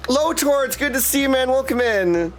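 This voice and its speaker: High-pitched, animated male game streamer. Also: moderate pace, slight background noise. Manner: exuberant, slangy, chatty. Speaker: game livestreamer. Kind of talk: casual live stream chat and game commentary. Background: game music.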